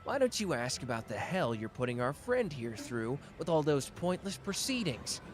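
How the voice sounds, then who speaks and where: An actor playing a hot-tempered but protective young man who talks gruffly and swears in an audio roleplay. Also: medium pitch, very animated, soft background music, lightly acted. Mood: angry. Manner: gruff, swearing, quick to snap. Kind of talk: ASMR roleplay acting.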